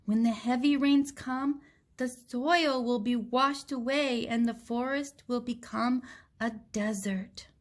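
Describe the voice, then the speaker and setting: A woman's voice: on the high side, steady tone, slow. A woman voicing an urgent, indignant monkey from a children's storybook, speaking with insistent emphasis. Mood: urgent warning. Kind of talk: children's storybook read-aloud.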